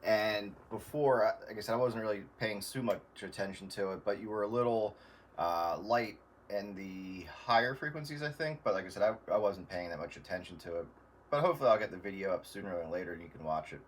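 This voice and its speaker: A man in casual radio chat, explaining things in a halting, tentative way as an amateur operator. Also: on the low side, slight background noise.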